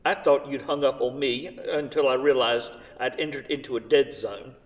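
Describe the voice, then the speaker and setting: A man acting an eager, insistent gentleman buyer who pleads formally in a staged play reading. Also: medium pitch, moderate pace, lightly acted. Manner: formal, insistent, repeating pleas. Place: theatre stage. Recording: reverberant theatre hall, distant mic.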